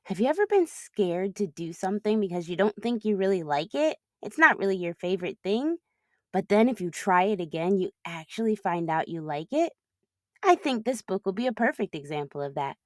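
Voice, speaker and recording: A woman hosting a children's read-aloud, narrating expressively with clear phrasing. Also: mid-range voice, very animated, brisk, no room sound, studio quality.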